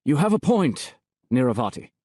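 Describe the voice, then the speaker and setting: A man voicing an anxious, proud high priest who speaks in a strained, raised voice. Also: sing-song, normal speed, no echo, studio quality. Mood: tense. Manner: strained, higher and louder than narration.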